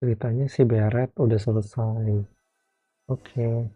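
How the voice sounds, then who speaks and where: A man's voice: medium pitch, little pitch movement, measured pace, slightly rough, dry recording, muffled recording, faint music behind. An Indonesian-accented man giving casual commentary as he streams a game.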